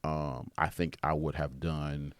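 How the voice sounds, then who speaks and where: A man's voice: very deep voice, level tone, takes it slowly, no echo, clean studio sound. A man in his late thirties, a therapist co-hosting a podcast, chatting casually and reflectively with frequent "right?" check-ins. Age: late 30s. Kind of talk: casual conversation.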